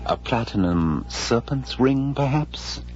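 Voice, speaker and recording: An actor playing a shrewd detective in a radio drama, deliberate and grandly deductive in manner. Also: male voice, medium pitch, expressive, a little mellow. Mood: intrigued, probing. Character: shrewd, theatrical male detective lecturing his colleague. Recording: dull radio recording, music behind.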